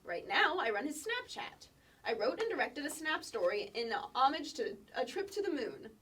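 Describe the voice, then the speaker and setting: A woman's voice: high-pitched, very animated, normal speed, fairly bright tone, recorded from a distance. An actress playing a bossy, precocious kindergarten girl with a pompous, officious manner in a staged comedy sketch reading. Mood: smug. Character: bossy, precocious kindergarten girl managing her twin brother.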